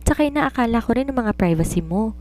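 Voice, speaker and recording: A woman's voice: on the high side, lively intonation, fast, darkish tone, slight background noise, pitched above the performer's own voice, natural voice. An assured young woman writer in an audiobook romance, voiced by a woman narrator. She is chatty and teasing, reasons her way along and slips English phrases into her talk. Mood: coaxing, reasoning.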